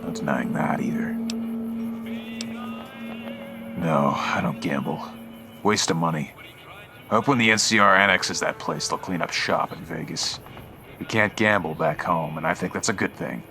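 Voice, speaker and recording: An actor voicing a gruff, terse retired soldier turned caravan guard in a video game. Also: male voice, deep voice, moderate pace, over music. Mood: stern disapproval. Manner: terse, matter-of-fact, stern. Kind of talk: video game character dialogue.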